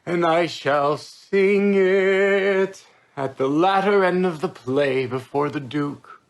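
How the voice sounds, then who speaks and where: A man acting a bewildered, vain simple craftsman in a Shakespearean stage monologue, halting in broken phrases of wonder. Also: fairly high pitch, gravelly. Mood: grand, delighted.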